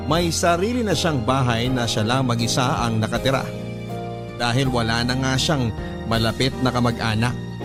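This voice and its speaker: A man narrating a first-person letter for a radio drama in a measured, reflective storytelling manner. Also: medium pitch. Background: guitar music.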